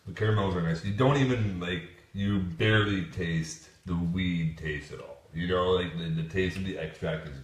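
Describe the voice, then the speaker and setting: A man's voice: low-pitched, moderate pace, few pauses. Rambling, swearing male podcast host. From Canada. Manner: rambling, swearing, laughs often. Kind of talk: casual podcast banter. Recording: roomy echo, distant mic.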